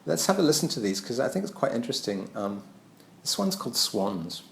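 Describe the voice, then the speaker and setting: A man's voice: mid-range voice, big pitch swings, a little fast, creaky, roomy sound, loud music behind the voice. A man lecturing on his own album in a casual, musing way, hesitant, with many ums and restarts.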